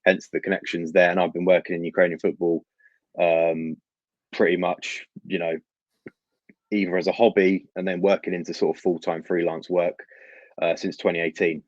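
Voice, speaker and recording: A man's voice: low-pitched, level tone, moderate pace, dry recording. A man from London with a London accent, giving conversational answers as a guest football journalist, on a slightly dull microphone.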